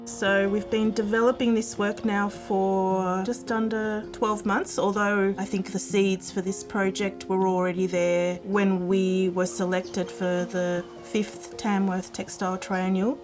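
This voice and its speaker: A woman from Uruguay, a textile artist, speaking in a thoughtful, measured way with pauses. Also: mid-range voice, level tone. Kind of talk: reflective interview. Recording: music bed under voice.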